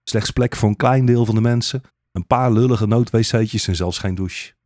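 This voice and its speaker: A man's voice: on the low side, moderate pace, darkish tone, dry recording, studio quality. A man narrating a satirical fairy-tale radio play as a storyteller.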